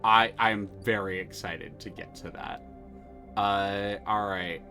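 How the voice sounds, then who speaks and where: A man playing a video game on stream, thinking his moves through aloud and swearing when excited. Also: fairly low pitch, slow, soft background music.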